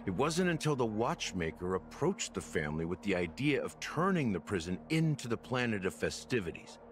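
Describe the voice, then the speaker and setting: A male actor playing a weary, remorseful middle-aged officer in a video game, speaking slowly and broodingly with heavy pauses. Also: fairly low pitch, sing-song, slight background noise. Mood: somber, guarded. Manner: slow, brooding, measured delivery with heavy pauses. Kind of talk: scripted video game dialogue.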